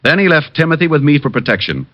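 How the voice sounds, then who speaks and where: An actor playing a cool, wisecracking private detective who also narrates, in an old radio drama, with dry, easygoing quips. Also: male voice, on the high side, very animated, quick pace, no room sound. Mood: cocky. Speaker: narrator and lead. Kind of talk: 1950s radio drama acting. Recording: dull old radio broadcast.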